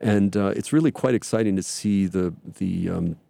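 A middle-aged man speaking for his church to listeners, rambling and filling pauses with many ums and uhs. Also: deep voice, gravelly, warm tone, no room sound. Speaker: church representative. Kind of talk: speaking to listeners, promotional talk.

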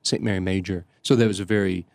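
An older man, a priest from the Chicago area, telling stories in casual conversation, halting often with fillers and restarts. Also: sits low, steady tone, vocal fry, a little mellow, no echo. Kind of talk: conversational storytelling.

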